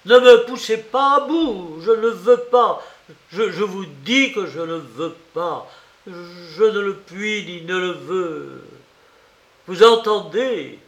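A man's voice: a little high, animated, measured pace. Man acting a furious cornered suspect, echoing room. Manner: defiant, exasperated, forceful. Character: furious, proud, cornered male murder suspect. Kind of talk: dramatized audiobook reading. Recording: roomy, echoing voice recording.

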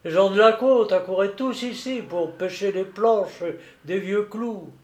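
A male narrator acts out a jovial, drunken lighthouse keeper from a storybook. He is loud, boastful and coarse, bursting into exclamations at a raised pitch. The room rings slightly around him. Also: measured pace, roomy sound. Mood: boastful, excited. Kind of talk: dramatised audiobook storytelling.

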